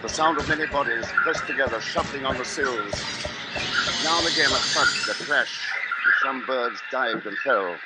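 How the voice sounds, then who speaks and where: An actor as a grave family man in a 1950s radio drama, middle-aged and resolute. His delivery is measured and brooding, with loud music behind him on a vintage broadcast. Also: mid-range voice, lightly acted, poor audio. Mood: grim, brooding. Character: grave, resolute husband and father narrating a siege.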